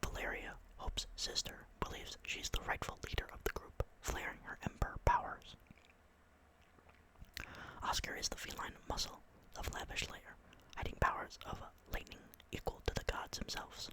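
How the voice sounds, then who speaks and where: A tired young man doing whispered ASMR, reading game lore close to the mic with rambling, excitable, apologetic asides. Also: moderate pace, rough recording. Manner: soft unvoiced whisper throughout. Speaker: ASMR creator. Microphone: close microphone.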